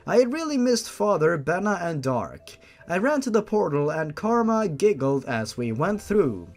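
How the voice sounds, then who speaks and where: A man doing a dramatic reading of fan fiction, breaking off for exasperated, sarcastic asides. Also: on the high side. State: feeling unwell. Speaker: fan fiction reader and host.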